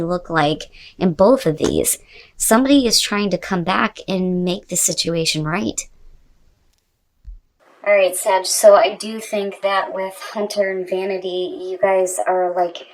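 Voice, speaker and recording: A woman with a slightly low voice, recorded at some distance from the microphone. She speaks conversationally as a tarot reader addressing the viewer directly. She leans on key phrases by repeating them for emphasis.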